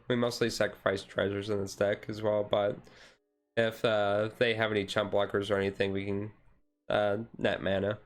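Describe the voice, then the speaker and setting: A man giving casual commentary on a card deck as a streamer, thinking aloud in a halting way. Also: lowish voice.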